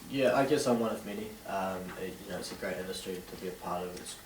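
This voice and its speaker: A young man in his late teens with a New Zealand accent, answering interview questions haltingly with many fillers. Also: low voice, heavy background noise. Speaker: interviewee, young DJ and event organiser. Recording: distant roomy camera sound with faint ambience.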